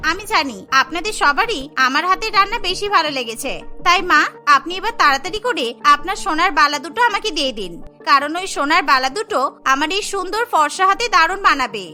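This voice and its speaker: Cartoon villainess, female performer: spiteful young daughter-in-law, piercingly high and bright, haughty delivery, music underneath. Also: lively intonation, a little fast, exaggerated voice. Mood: pushy. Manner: haughty, pushy. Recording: music bed behind the voice.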